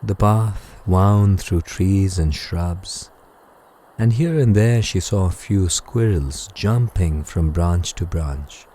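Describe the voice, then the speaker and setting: Deep, slow, husky man telling sleep stories. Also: a little hiss or hum. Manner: gentle, calm, unhurried. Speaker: guided sleep meditation narrator. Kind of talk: soothing scripted bedtime story narration. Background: music.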